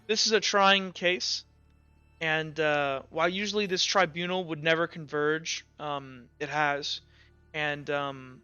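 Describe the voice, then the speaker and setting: A man plays a grave courtroom judge in a tabletop game, measured and procedural. The voice is bright and very clear, picking its formal words with halting care. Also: on the high side, fairly even intonation, no room sound, lo-fi sound, soft background music. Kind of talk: tabletop roleplay character speech.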